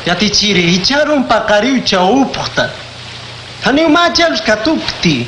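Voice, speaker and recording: Lively high-voiced man, radio drama. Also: a little slow. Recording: echoey room with faint noise.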